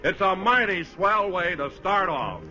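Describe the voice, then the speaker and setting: A man's voice: sits high, lively intonation, a little slow, music in the background. A male announcer in 1940s radio style, speaking in an emphatic, salesmanlike way. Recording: dull old radio broadcast recording.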